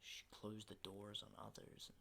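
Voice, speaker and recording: A man playing an unhinged, menacing survivor of a zombie apocalypse in a halting, intimate ASMR roleplay, in breathy whispers. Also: completely flat delivery, pushed and bright, a little hiss or hum. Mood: grim, bitter. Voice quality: breathy whisper with low creaky undertones.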